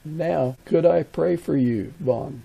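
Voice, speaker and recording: A man from the United States hosts an interview podcast in a conversational way. His voice is slightly high for a man but very mellow, with a husky grain throughout. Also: takes it slowly, rough recording.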